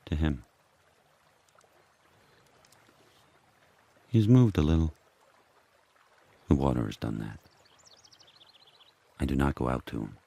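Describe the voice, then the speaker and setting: A man playing a fierce, brooding sled dog turned pack leader, telling his tale in terse, deliberate phrases in an audio drama. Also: big pitch swings, warm tone, dry recording, exaggerated voice. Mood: grieving, weary. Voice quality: very deep, husky. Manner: terse, deliberate first-person narration in short phrases.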